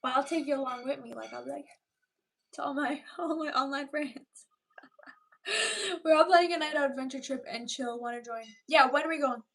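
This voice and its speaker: A woman in her twenties hosting a casual livestream chat with viewers, animated and talkative, often repeating herself for emphasis. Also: high voice, normal speed, rough recording. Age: 20s. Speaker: livestream host chatting with viewers.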